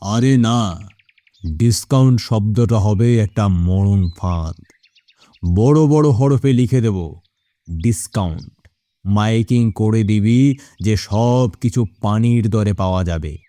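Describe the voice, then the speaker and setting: A man playing a greedy, scheming, cruel village boss in an animated moral story, speaking in a smug, gloating, deliberate manner. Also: lowish voice, dry recording. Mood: smug, calculating.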